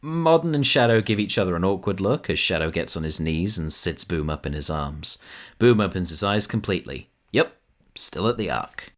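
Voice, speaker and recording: A man with a deep voice narrates an audio drama. He reads scripted scene descriptions as the storyteller. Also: expressive, normal speed.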